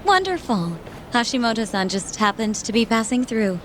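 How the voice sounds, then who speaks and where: A woman's voice: fairly high pitch, very animated, moderate pace, a bit bright, slight background noise. A woman acting in a game dub as a polite, helpful receptionist with a slightly hesitant, courteous manner. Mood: polite, pleased.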